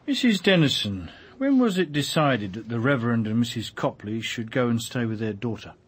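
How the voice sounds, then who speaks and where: An actor playing a brusque, methodical British chief inspector in a radio mystery, with a husky voice and a terse, probing, businesslike manner. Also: male voice, medium pitch, expressive, moderate pace. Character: brusque, methodical male police chief inspector on a murder case.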